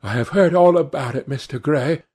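A man's voice: on the high side, moderate pace, a little mellow, slightly rough. A man voicing a witty, coquettish aristocratic duchess in an audiobook, in an arch manner. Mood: curious.

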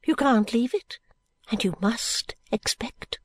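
A woman voicing a frail, rambling old woman who talks in high fluttering swoops and drops into secretive whispers. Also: lively intonation, slow pace, breathy edge, no echo, exaggerated voice. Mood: confiding.

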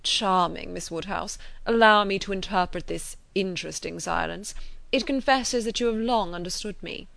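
Woman voicing a gushing, pompous gentleman suitor. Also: medium pitch, animated, moderate pace, creaky. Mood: insinuating, joyous. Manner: effusive, pompous, insinuating. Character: ardent, self-important gentleman suitor, gushing and easily affronted. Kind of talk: dramatised classic novel reading.

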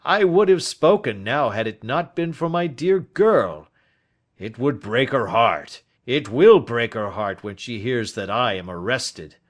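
A man voicing a weary, bitter, dying old man in an audiobook, speaking with a heavy, emphatic, drawn-out manner. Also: medium pitch, expressive, voice pushed up, natural voice. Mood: anguished. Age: older. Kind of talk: audiobook character acting.

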